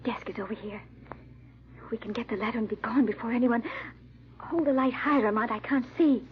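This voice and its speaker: A woman's voice: fairly high pitch, a little fast, natural voice. A woman playing an anxious young French bride in a 1940s radio drama, with formal, theatrical diction. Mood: urgent, anxious. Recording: dull, muffled old radio recording.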